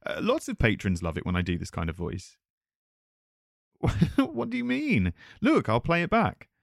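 A man plays a chatty erotic-audio performer in a casual roleplay aimed at the listener. His pitch moves around a lot, and he sounds self-conscious and hesitant, in a very dry recording. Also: wildly swooping pitch, moderate pace, gravelly, no echo. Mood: flustered, defensive. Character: chatty, nervous erotic-audio voice actor hosting a visitor.

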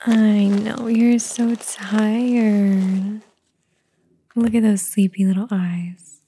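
A woman doing a whispered ASMR roleplay, talking softly and soothingly in a gentle whisper. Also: mid-range voice, level tone, very slow, a little hiss or hum. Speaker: ASMR performer.

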